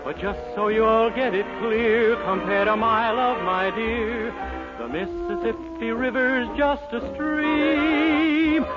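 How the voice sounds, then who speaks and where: A man singing as a lovestruck young crooner in a high tenor voice, on a dull old radio recording. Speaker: singer.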